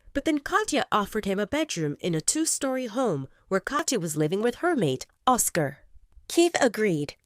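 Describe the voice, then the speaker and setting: A woman narrating a scripted audio drama in an expressive, intimate storytelling manner. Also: medium pitch, dry recording, studio quality.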